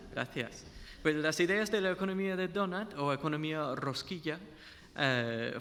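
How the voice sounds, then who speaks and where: A man's voice: a little high, a bit bright, in a large hall. A man speaking Spanish with an English-speaking accent, a guest researcher giving a friendly conference talk with slides and questions to the audience. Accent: English-speaking learner of Spanish. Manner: friendly, asks the audience questions, some restarts.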